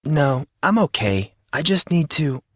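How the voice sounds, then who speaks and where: A man's voice: on the high side, sing-song, a little slow, fairly bright tone, dry recording, studio quality, pitched above the performer's own voice, heavily acted. A man voicing a confused, earnest fourteen-year-old boy in audiobook dialogue, hesitant and questioning. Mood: hesitant, uneasy.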